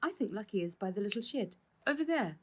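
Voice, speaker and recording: A nervous but determined storybook girl, voiced by a woman narrator. The pitch lifts well up from a low, slightly husky base, with an urgent, questioning lilt. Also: lively intonation, fast talker. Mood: urgent, worried.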